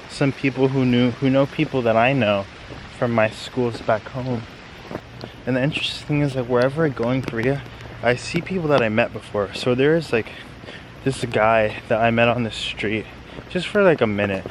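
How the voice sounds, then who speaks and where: Young American man, casual vlogger, mid-pitched, rambling with fillers, handheld camera outdoors over clear street noise. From United States. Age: young adult. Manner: rambling, filler-heavy, chatty. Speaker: vlogger, study-abroad student. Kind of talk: casual vlog narration.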